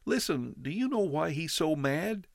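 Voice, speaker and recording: A man's voice: a little high, very animated, measured pace, gravelly, no room sound, clean studio sound, voice pushed up, full character voice. A man voicing a timid young boy whose voice shakes as he pleads. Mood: anxious. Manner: shaky, pleading.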